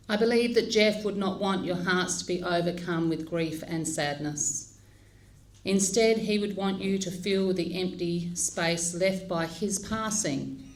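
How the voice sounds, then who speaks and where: Slow, low-voiced woman celebrant. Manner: slow, measured and solemn. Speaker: funeral celebrant. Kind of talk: funeral service address.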